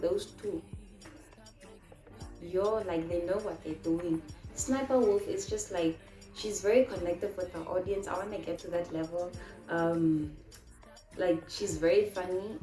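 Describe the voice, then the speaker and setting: A woman's voice: medium pitch, mellow, reverberant. A woman in her twenties from Namibia, answering viewer questions in a casual, chatty vlog and telling stories with many fillers. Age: 20s. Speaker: vlogger.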